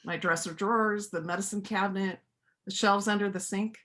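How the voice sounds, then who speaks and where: A woman's voice: mid-range voice, steady tone, brisk, fairly bright tone, natural voice. A woman acting a lonely, plainspoken veterinarian and painter in a church reader's theater play. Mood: earnest, subdued.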